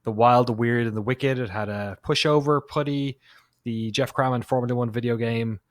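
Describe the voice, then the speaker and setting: A man's voice: medium pitch, steady tone, moderate pace, no echo, clean studio sound. A man in his thirties on a podcast, casually telling a story in an easygoing way, with small laughs. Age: 30s. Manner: easygoing, laughs a little as he talks. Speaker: podcast co-host.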